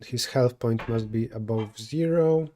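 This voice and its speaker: Mellow-voiced Polish man thinking aloud. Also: mid-range voice, unhurried, slight background noise. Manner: thinking aloud, self-correcting mid-sentence. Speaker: streamer solving coding problems. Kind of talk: live coding stream, answering chat.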